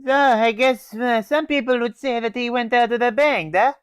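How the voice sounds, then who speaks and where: A man's voice: very high voice, moderate pace, a bit bright, clean tone, dry recording, clean studio sound, pitched above the performer's own voice. A teenage boy voicing a Russian male soldier obsessed with explosive charges, teasing and calling everyone comrade. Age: teens. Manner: teasing, calls listener comrade.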